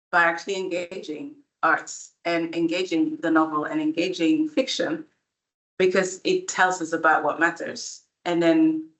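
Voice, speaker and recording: A woman's voice: fairly low pitch, bright, forceful tone, poor audio. A woman, an international relations academic, thinking aloud in a panel discussion with many restarts. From Belgium. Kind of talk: panel discussion at a book event.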